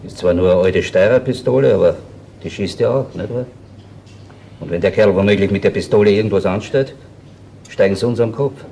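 A low-voiced, blunt Viennese detective. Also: male voice, brisk, vocal fry, dark tone, slight background noise. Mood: matter-of-fact. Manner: matter-of-fact, curt, badgering. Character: blunt, suspicious junior police detective, pressing and impatient. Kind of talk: radio crime drama acting.

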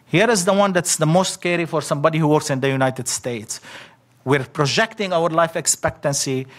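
A middle-aged man giving an academic conference talk in short, halting phrases with frequent fillers. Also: a little high. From Lebanon. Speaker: conference speaker. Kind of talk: academic slide presentation.

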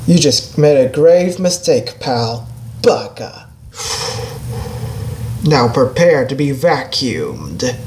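A performer voicing a plucky, sassy, exasperated female fox mechanic in an amateur comic dub, with loud game music behind. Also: mid-range voice, big pitch swings, slow pace, pauses often, slight background noise. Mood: cocky, threatening. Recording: home recording under loud music. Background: loud video game music.

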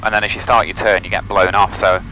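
A man's voice: low voice, flat delivery, fast, low-quality recording. A man piloting a small plane, switching between clipped radio calls and casual, matter-of-fact chat. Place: small aircraft cockpit in flight. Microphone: cockpit audio recorder on intercom. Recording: loud aircraft engine and cockpit noise.

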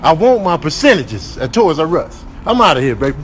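A man's voice: fairly high pitch, very animated, brisk, a little hiss or hum. A man voicing cocky, aggrieved talking animals in comedic voiceovers, slangy and confrontational. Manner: slangy, confrontational, drops "man" and "bro" constantly.

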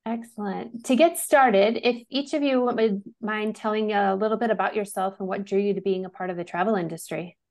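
A woman in her thirties hosts a travel interview on a video call, speaking through earphone mics. Her voice sits at an ordinary pitch, and her tone is upbeat, with quick affirmations between her questions. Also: darkish tone. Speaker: podcast host. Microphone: earphone mic on a video call.

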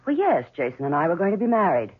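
A poised, guarded mistress has a husky woman's voice with lively swings in pitch. The sound is a tinny 1970s radio drama. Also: fast talker.

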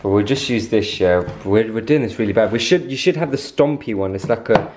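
A man's voice: fairly low pitch, animated, brisk, a little mellow. A young man, joking around and chatting casually while helping cook at home. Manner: joking, laid-back. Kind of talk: casual banter. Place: home kitchen, indoors. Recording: noisy kitchen, sizzling pan. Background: frying and stirring sounds.

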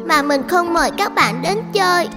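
A woman voicing a polite, shy little schoolgirl in a dubbed cartoon, bright and lively. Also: very high-pitched, normal speed, in a large hall, loud music behind the voice. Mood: worried. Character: cheerful shy schoolgirl, about ten. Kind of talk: cartoon dubbing.

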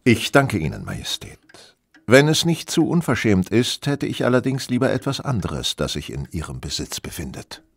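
An actor playing a cool, courteous, brooding master detective in a German audio drama, formal and reserved. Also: male voice, fairly low pitch, huge pitch swings, normal speed, creaky, slightly rough, dry recording, heavily acted. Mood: polite, reserved.

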